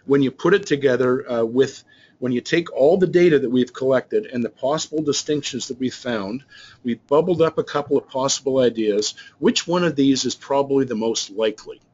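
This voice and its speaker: A man's voice: medium pitch, moderate pace, a little mellow, muffled recording. A man working as a business trainer, talking through slides in a loose, rambling way with many restarts and fillers. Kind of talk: explaining slides in a recorded webinar.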